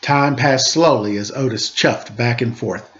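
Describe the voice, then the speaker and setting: A man narrates a children's storybook with dramatic, expressive delivery. His voice sits at an ordinary male pitch. The recording is dull and lo-fi. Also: measured pace. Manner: dramatic read-aloud, acting out sound effects and dialogue. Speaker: storyteller reading a children's book aloud.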